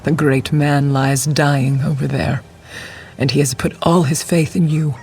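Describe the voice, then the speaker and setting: Higher-voiced, solemn ritual attendant. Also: male voice, flat delivery, a little slow, a little mellow, a little hiss or hum. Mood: grave, instructive. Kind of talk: video game character acting.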